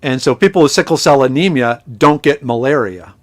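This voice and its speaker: A man's voice: mid-range voice, brisk. A middle-aged man from Minnesota, a podcast guest who tells stories in a chatty way, with many restarts, over a remote call. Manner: chatty storyteller with frequent restarts. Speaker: podcast guest, chemist and foraging instructor. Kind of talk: casual podcast conversation.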